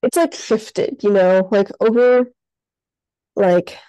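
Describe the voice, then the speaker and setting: A woman's voice: mid-range voice, slow, dark tone, clean tone, no room sound, rough recording. A young woman with a head cold, chatting casually as a podcast co-host, sounding stuffed up and filling her talk with "like". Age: young adult. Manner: chatty, lots of "like" fillers. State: sick, congested. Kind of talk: casual chat.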